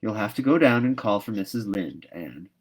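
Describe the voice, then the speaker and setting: A no-nonsense older woman from a storybook, as a man reads her aloud. She is stern and practical. She speaks in brisk, emphatic scoldings and clipped instructions. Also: fairly low pitch, lively intonation. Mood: brisk, instructing.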